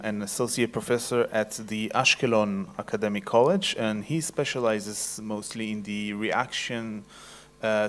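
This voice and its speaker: A man introducing the next speaker at an event, hesitant with fillers. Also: lowish voice. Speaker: event moderator.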